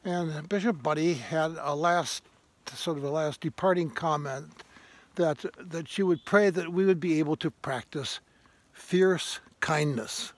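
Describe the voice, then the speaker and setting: A man in his seventies giving a thoughtful, halting informal sermon to camera as he walks outdoors, repeating phrases for emphasis. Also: fairly high pitch. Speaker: vlogger, retired parish priest. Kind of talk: reflective informal sermon to camera while walking. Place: outdoors on a grassy woodland trail. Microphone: handheld phone at arm's length.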